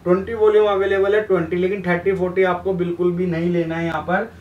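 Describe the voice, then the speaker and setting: A man's voice: high-pitched, little pitch movement, quick pace, narrow, tinny audio. A young man giving an informal, instructive tutorial, addressing viewers directly and mixing in English terms. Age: young adult. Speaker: hair colour instructor and video host. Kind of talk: informal tutorial explanation at a whiteboard. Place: small indoor room beside a whiteboard. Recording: roomy echo, distant mic, background noise.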